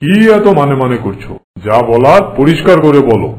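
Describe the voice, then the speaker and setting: A man playing a boastful, haughty king in an animated folk tale, speaking in a pompous, commanding way. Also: mid-range voice, fairly quick, close to an everyday voice, low-quality recording. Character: boastful, haughty king who prides himself on trickery.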